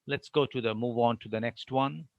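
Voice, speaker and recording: A man giving an online academic lecture, explaining with deliberate pauses between phrases. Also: mid-range voice, gravelly, no echo, dull audio.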